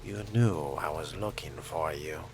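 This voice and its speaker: A man role-playing a video game character in a measured, musing, aloof and theatrical manner. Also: very deep voice, slight background noise. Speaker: player voicing his vampire character. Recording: loud music behind the voice.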